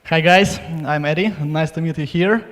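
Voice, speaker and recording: A young man giving a halting, informal pitch for his conference talk into a handheld microphone. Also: fairly bright tone, a little hiss or hum. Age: young adult. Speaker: conference speaker. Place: stage in a large conference hall. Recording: reverberant hall, live PA sound.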